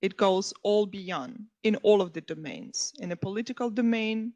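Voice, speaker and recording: A woman's voice: medium pitch, normal speed, darkish tone. A woman historian speaking on a conference panel, earnest and often correcting herself mid-phrase. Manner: earnest, restarts and self-corrections.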